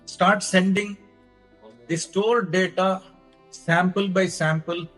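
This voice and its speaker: Slow, higher-pitched Indian man lecturing. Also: fairly even intonation, fairly bright tone, soft background music. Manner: deliberate step-by-step explaining in short phrases. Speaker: lecturer presenting own engineering research. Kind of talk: technical lecture.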